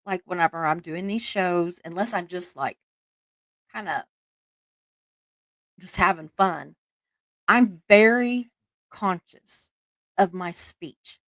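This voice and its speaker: A woman's voice: lowish voice, takes it slowly, pauses often, fairly bright tone, no echo. A middle-aged woman with a Southern US accent, hosting a live stream in casual, self-deprecating chat, laughing often and using many fillers.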